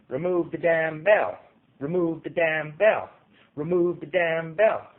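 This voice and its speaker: A man's voice: on the high side, takes it slowly, narrow, tinny audio, pitched above the performer's own voice. A man voicing angry, jeering townspeople in a storybook, heckling like members of a mob. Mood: chanting.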